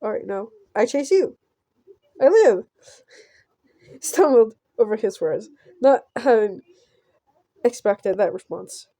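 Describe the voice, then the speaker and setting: Female gameplay host, slower-paced and on the mellow side, casual narration with stumbles and asides. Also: fairly high pitch, expressive, dry recording. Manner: halting, stumbling read-aloud with offhand reactions. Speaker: gameplay host reading a visual novel. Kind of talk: casual let's play with read-aloud narration.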